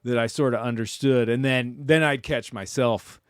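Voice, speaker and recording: A man hosts a laid-back podcast chat, rambling and hedging as he talks. His voice sits at a middle pitch. The recording is dry and close, with no room echo. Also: normal speed, smooth. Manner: relaxed, rambling, lots of fillers. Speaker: podcast host. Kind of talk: casual conversation. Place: aboard a sailboat.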